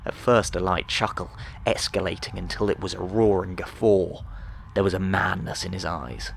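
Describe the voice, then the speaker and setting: A man's voice: low voice, moderate pace, vocal fry, slight background noise. A man narrating a dramatic sci-fi audiobook from a script.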